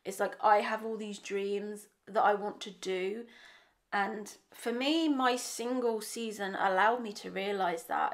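A young woman from England, her voice still a little croaky, talking candidly to camera in a casual vlog, thinking aloud with small restarts. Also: medium pitch. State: slightly croaky voice. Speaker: vlogger. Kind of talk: casual reflective monologue to camera. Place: bright bedroom indoors. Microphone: desk microphone in front of her.

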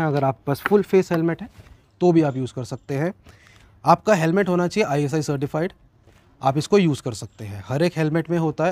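A man's voice: on the high side, darkish tone. A young man with an Indian accent giving an informal, explanatory question-and-answer product review, mixing in English phrases, recorded outdoors in a garden. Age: young adult. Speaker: motovlogger reviewing gear. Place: outdoor garden, seated at a table.

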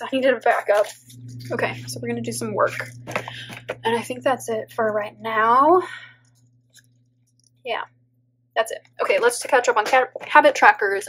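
A woman's voice: a little high, slight background noise, low-quality recording. A woman in her thirties, stuffy from allergies, chatting casually as she thinks aloud, peppered with "like" and little laughs. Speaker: planner vlogger and teacher. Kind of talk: casual plan-with-me voiceover. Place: indoor home table, overhead camera on planner.

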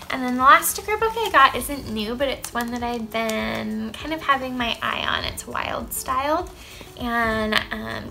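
A woman's voice: a little high, creaky, pushed and bright. A young woman in her twenties showing off craft supplies in an upbeat, gushing way, sitting on the floor of a small indoor room. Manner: upbeat, gushing, lots of "um" and "I love". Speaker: craft vlogger. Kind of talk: casual haul show-and-tell.

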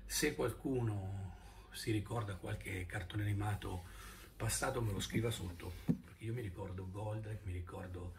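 A man's voice: on the low side, normal speed, creaky. A man, rambling casually in a vlog shot on a phone at arm's length. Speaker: video blogger. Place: indoor room. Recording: noisy phone video played back.

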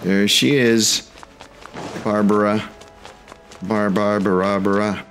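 A man's voice: lowish voice, level tone, slow pace, fairly bright tone, soft background music. A man hosting a casual let's play, laid-back and chatting back to the game's characters. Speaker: gaming host.

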